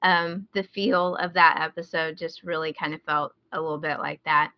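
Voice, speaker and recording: American lady podcaster just chatting, lowish voice, kinda muffled lo-fi sound. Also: fairly even intonation, moderate pace, no echo. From rural Midwest United States. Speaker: podcast co-host. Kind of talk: casual podcast recommendation chat.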